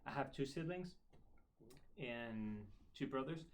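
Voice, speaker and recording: A man's voice: mid-range voice, animated, slow, slight background noise. Brief: man, 20s, Spanish accent, Spanish native speaker, friendly and talkative, loose unscripted interview delivery. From Spain. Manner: friendly, chatty, repeats and restarts words. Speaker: interviewee, member of a religious order. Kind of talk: casual interview chat. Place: school in Ireland.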